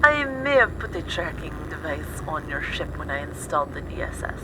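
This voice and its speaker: A woman's voice: deep voice, very animated, normal speed. A woman playing a caring, teasing and bossy female mechanic friend in an audio drama. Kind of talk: audio drama dialogue over a call. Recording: clear vehicle and street noise behind.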